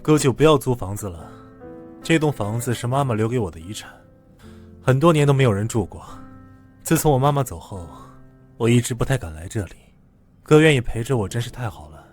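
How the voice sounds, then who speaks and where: Man acting troubled, clingy young student, mid-pitched. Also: lively intonation, a little fast, faint music behind, lightly acted. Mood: soft, coaxing. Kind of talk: animated drama dubbing.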